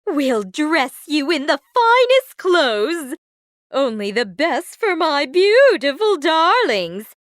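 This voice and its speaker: A woman's voice: high voice, wildly swooping pitch, takes it slowly, a bit bright, no echo, studio quality, pitched above the performer's own voice, full character voice. A woman voicing a haughty, bossy, cruel stepmother in a storybook, with an imperious, exclamatory manner. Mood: excited, gushing.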